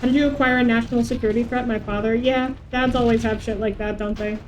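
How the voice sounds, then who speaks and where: Woman, higher-pitched, non-native English, casual livestream game commentary, slight background noise. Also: fairly even intonation, quick pace, low-quality recording. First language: not English. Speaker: game streamer.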